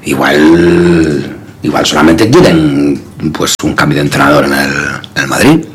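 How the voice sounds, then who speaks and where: A man in casual podcast banter, mock-grumpy and teasing, with sudden animated outbursts. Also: a bass voice, unhurried, slightly rough.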